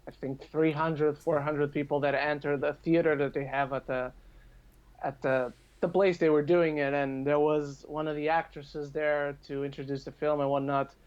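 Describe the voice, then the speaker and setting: A man's voice: on the high side, level tone, moderate pace, smooth, highs cut off. A man in his twenties chatting casually about films, hesitating and repeating words as he thinks. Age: 20s. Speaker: podcast participant. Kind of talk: casual film chat.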